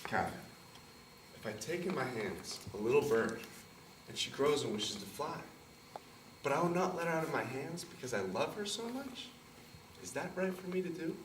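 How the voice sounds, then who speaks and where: A man plays a proud young Italian immigrant with an Italian accent, his voice ranging widely in pitch as it moves from soft tenderness to furious protest. The recording is roomy and taken from a distance, with faint noise behind. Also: very animated, moderate pace, long pauses. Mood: tender. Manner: swings from tender murmurs to impassioned outbursts. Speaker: stage actor. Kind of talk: stage play performance.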